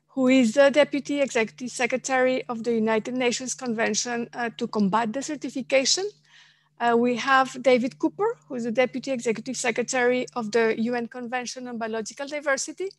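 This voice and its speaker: A woman in her forties moderating an online panel from a home office, speaking in halting phrases with many fillers and repeated words. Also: sits high, steady tone. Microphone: headset on a video call.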